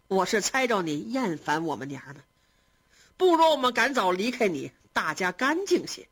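A woman voicing a grieving elderly matriarch in a storybook reading, sharp with scorn and swinging widely in pitch as she scolds. The voice is slightly husky and raised above the narration. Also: big pitch swings, moderate pace. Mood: indignant. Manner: indignant, scornful, tearful.

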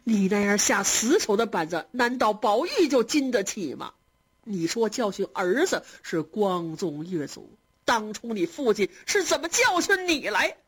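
A woman voicing a grieving elderly matriarch in a storybook reading, sharp with scorn and swinging widely in pitch as she scolds. The voice is slightly husky and raised above the narration. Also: sing-song, a little slow. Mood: indignant. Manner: indignant, scornful, tearful.

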